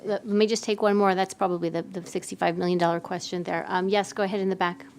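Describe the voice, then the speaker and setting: A woman's voice: on the low side, level tone, fast, slight background noise. A woman chairing a question and answer session, summarising questions and handing over to panelists. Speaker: panel moderator.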